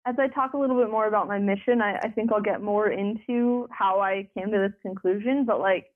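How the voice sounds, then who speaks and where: A woman's voice: fairly even intonation, fairly quick. Young woman talking over a phone line. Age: young adult. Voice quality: deeper voice. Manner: rambling, lots of filler words and restarts. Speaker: interview guest. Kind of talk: conversational interview.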